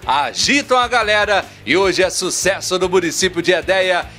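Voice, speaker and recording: A man's voice: high-pitched, moderate pace, pushed and bright, soft background music. A man reporting from a fair, introducing the guests in an announcer's hyped-up way. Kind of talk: event reporting.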